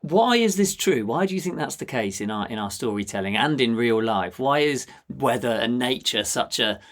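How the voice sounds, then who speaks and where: A man's voice: mid-range voice, animated, moderate pace, a bit bright. A man hosting a conversational interview, musing as he works his way toward questions. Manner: musing, tentative phrasing with tag questions. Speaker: podcast host.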